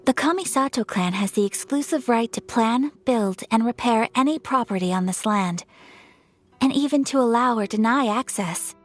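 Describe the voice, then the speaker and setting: A woman voicing a poised, breathy young noblewoman. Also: a little high, faint music behind, lightly acted. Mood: calm formal. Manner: formal, measured and polite. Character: young noblewoman, composed and courteous. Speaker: noble of a ceremonial commission. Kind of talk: video game voice acting.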